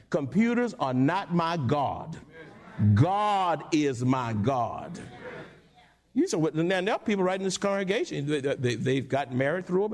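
A male pastor preaching a sermon in church, with call-and-response and sudden high-pitched asides. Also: darkish tone. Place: church sanctuary.

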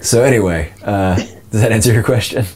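Brief: low-voiced man, chatty voice-actor guest, loose and rambling. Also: fairly quick, crowd noise. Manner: rambling, many "like" fillers. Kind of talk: casual interview answers.

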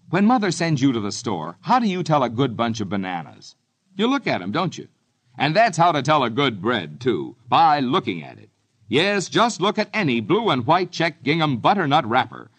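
A man's voice: mid-range voice, fairly bright tone, slightly rough. A man with an American accent delivering a folksy, emphatic old-time radio commercial aimed at children. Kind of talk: 1950s radio ad pitch to children.

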